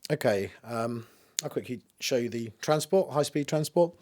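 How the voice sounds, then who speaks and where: A man's voice: medium pitch, expressive, normal speed. A man giving an informal, matter-of-fact spoken demonstration of audio equipment, explaining as he goes. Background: music played through a tape deck.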